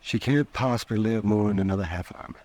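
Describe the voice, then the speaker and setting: An actor playing a somber, plain-spoken frontier town doctor with a gravelly voice in an old-time radio western. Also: male voice, lowish voice, brisk, a little mellow, close to an everyday voice. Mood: urgent, somber.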